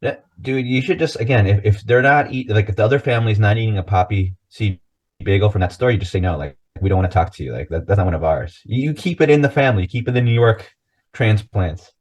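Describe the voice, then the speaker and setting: A man with a lowish voice hosts a relaxed podcast chat in an easygoing, joking way. He laughs along with his guest and keeps the talk light. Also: fast, dull audio. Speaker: podcast host. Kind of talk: casual chat.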